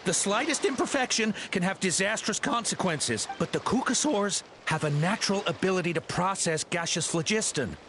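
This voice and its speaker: A man's voice: high voice, animated, moderate pace, hardly any pauses, a bit bright, slight background noise. A man voicing a cowardly, nervous henchman in a video game, hesitant and stammering as he tries to appease. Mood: nervous, deferential. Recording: game music behind the voice.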